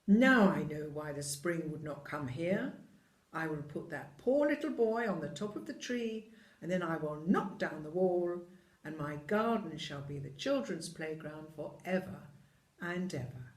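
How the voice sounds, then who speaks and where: A reader voicing a gentle, remorseful storybook giant, tender and solemn. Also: medium pitch, animated, a little slow, natural voice. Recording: echoey room.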